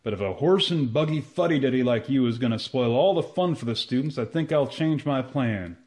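Guy doing an old landowner, courtly n sentimental, touchy, medium pitch, radio comedy style. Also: expressive, a little fast, darkish tone, natural voice. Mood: indignant. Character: sentimental, easily offended elderly male landowner.

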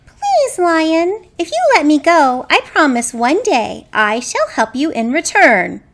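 A woman voicing a tiny, plucky mouse in a children's puppet show, squeaky, earnest and eager to help. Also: very animated, slow pace, a bit bright, heavily acted. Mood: pleading, grateful.

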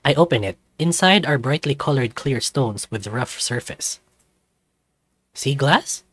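A man's voice: mid-range voice, animated, normal speed, no echo. A man reading visual novel narration aloud as a let's-play narrator.

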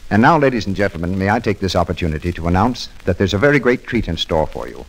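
A man's voice: deep voice, very animated, quick pace, low-quality recording. An American man making formal, emphatic announcements in 1930s radio style.

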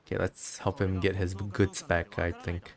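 A game streamer with a low voice talks casually through what is on screen. The delivery is chatty and giggly, like relaxed commentary for viewers. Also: a little slow.

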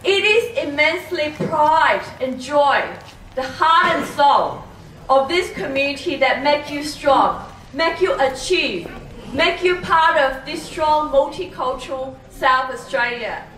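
A woman's voice: high-pitched, expressive, a bit bright, a little hiss or hum. A middle-aged woman whose first language is not English gives a formal, earnest speech at a ceremony, with a few hesitations. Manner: measured, earnest, with some hesitations. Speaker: politician giving a speech. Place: community club hall on a stage. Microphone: handheld mic, far camera. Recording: echoey hall from far off.

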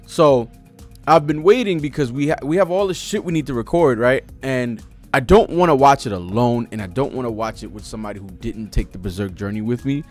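A man's voice: medium pitch, animated, fairly quick, faint music behind. A young American man giving casual, profane, slang-filled live commentary as a manga reaction host, speaking expressively into a close desktop microphone. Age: young adult. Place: cluttered room at home. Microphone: desktop microphone close in front.

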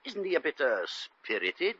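Flustered, deferential British hotel clerk, husky and animated, hesitant, old-time radio comedy. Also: male voice, on the high side, normal speed, no room sound, full character voice. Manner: obsequious, hesitant, stammering. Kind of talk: 1950 radio comedy acting. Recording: muffled vintage 1950s radio recording.

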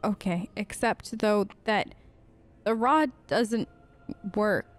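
A woman's voice: mid-range voice, slow, faint music behind. A woman giving role-play commentary as she plays a game, with hesitant, conversational asides. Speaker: let's player. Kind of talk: role-play gaming commentary, reading in-game text aloud.

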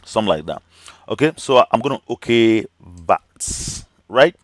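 Male teacher, tutorial voice-over, slightly hoarse and cracking, lots of "okay"s, dry close sound. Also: lowish voice, animated, a little slow, dry recording. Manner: chatty, many fillers and "okay" checks. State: voice slightly cracking, losing his voice. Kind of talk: screen-recorded software tutorial.

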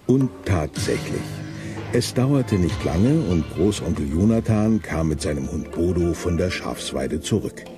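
Storyteller for a kids detective audio drama, low voice, lively delivery, music behind. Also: male voice, measured pace. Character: male storyteller of a youth detective tale. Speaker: narrator. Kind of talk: scripted audio drama narration for young listeners.

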